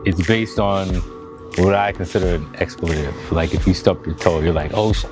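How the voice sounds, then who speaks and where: Very deep-voiced American man, casual. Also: moderate pace, over music. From Washington, D.C. area, United States. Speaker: musician and producer in a band. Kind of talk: casual interview answers.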